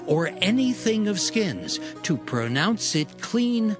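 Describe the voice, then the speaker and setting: A man giving a solemn, deliberate dramatized Bible reading over music. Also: sits high, very animated. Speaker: audio Bible reader. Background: background music.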